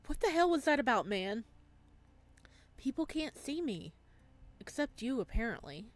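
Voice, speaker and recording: A woman's voice: a little high, sing-song, normal speed. A woman voicing an anxious, lonely young male ghost who narrates a visual novel in a hesitant, introspective way. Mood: agitated. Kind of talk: visual novel read-aloud, first-person narration.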